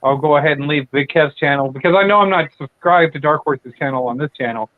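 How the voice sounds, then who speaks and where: A man's voice: on the high side, quick pace, no echo. A man hosting a late-night casual chat, rambling and profane, with lots of fillers and restarts. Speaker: channel host. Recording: tinny dry phone-like audio.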